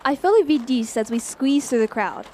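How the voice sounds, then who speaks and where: Curious, high-voiced young Australian time traveller. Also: female voice, lively intonation, moderate pace, dark tone, a little hiss or hum. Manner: chatty, wide-eyed, informal. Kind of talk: radio play acting, breathless first-person narration.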